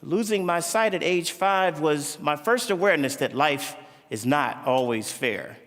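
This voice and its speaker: A man's voice: a little high, animated, unhurried, fairly bright tone. A man from Florida, a jazz pianist and bandleader, giving a gracious, formal speech to an audience. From Florida, USA. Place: large indoor arena. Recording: reverberant arena sound.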